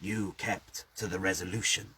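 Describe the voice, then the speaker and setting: A man voicing an ancient, skeletal guardian of a well in a story reading, speaking slowly and low with whispered menace. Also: fairly even intonation, sharp tone, a little hiss or hum. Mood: ominous, insistent.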